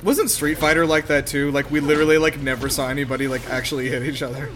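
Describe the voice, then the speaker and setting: A man's voice: on the high side, steady tone, fast, fairly bright tone. A man talking casually and informally as a podcast co-host, giving film commentary. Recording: music behind the voice.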